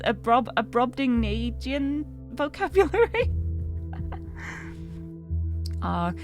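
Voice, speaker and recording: Chatty British woman streamer, lively pitch. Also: slow pace, faint music behind. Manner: chatty, self-deprecating, rambling. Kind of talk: casual chat while gaming live.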